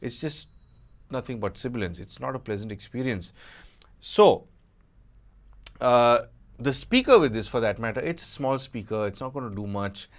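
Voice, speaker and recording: Man, gadget reviewer, casual unscripted delivery, conversational, mid-pitched voice, colloquial asides. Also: normal speed, long pauses.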